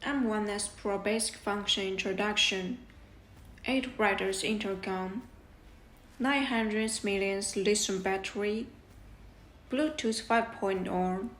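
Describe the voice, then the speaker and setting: A woman reads a scripted product demonstration at a middling pitch. She presents step by step like an instructor explaining a gadget. There is clear noise behind her voice. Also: takes it slowly, a bit bright. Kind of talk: scripted product demo narration.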